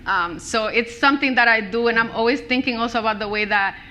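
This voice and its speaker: Chatty Dominican-accented woman, bright voice. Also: a little high, fast talker, a little hiss or hum. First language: Spanish. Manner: chatty, laughs often, lots of "like" and "you know". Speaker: author at a book talk. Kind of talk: casual live Q&A answers. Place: bookstore event space, live audience.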